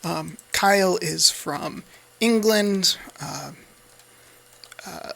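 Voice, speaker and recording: A man's voice: high-pitched, drawn-out words, creaky, pushed and bright. An American man giving casual, off-the-cuff gameplay commentary in an easygoing, rambling way. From United States. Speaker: Let's Play host.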